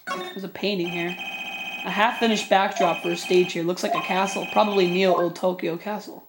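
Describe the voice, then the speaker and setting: A woman's voice: lowish voice, fairly even intonation, moderate pace, noisy background. Tired-voiced woman narrating a game. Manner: reads game text aloud, laughs easily. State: voice worn out. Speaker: let's play host. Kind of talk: casual game commentary and reading aloud. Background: game music.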